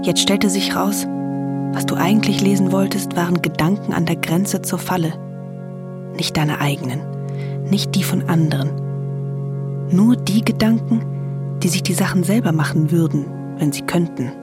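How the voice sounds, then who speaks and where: An actress playing a composed narrator in a German radio drama, delivering literary second-person narration in measured, deliberate phrases. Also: female voice, on the low side, natural voice. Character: composed woman narrator addressing a heroine as you. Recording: loud music behind the voice.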